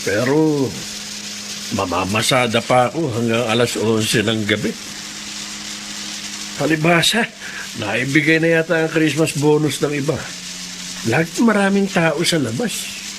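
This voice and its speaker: A man's voice: medium pitch, unhurried, pauses often, background noise, lightly acted. A middle-aged man playing a humble, prayerful working father in a Tagalog radio drama, speaking in an earnest, plaintive way. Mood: weary, concerned.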